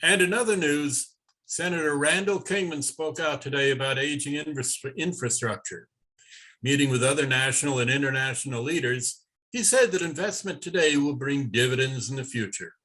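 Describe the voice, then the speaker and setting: A man plays a TV news anchor. The voice is bright and forceful, at a middling pitch. He delivers the news in a polished, neutral way. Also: moderate pace. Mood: brisk, neutral. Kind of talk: newscast delivery in a table read.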